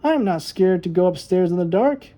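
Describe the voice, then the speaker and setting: A stubborn young storybook boy, played by a man, full of boastful protest. The voice is pushed up high from a man's natural register and stays soft-edged. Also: lively intonation, a little fast. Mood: indignant. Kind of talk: children's storybook read-aloud.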